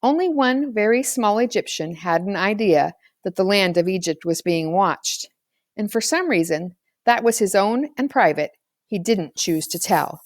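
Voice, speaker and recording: Female narrator for children's fiction, medium pitch, reading from the text in a dry, echo-free recording. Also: lively intonation, moderate pace. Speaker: audiobook reader. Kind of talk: scripted narration of a children's novel, read aloud.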